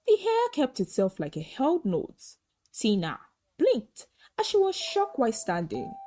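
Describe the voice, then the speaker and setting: Woman storyteller with swooping, animated pitch. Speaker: storyteller, narrator. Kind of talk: scripted folktale narration.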